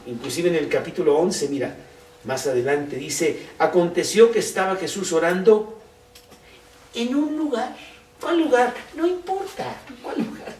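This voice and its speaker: A man preaching a sermon in Spanish. He talks slowly and conversationally and keeps asking rhetorical questions. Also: on the high side, distant mic. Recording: roomy echo, off-mic, faint background noise.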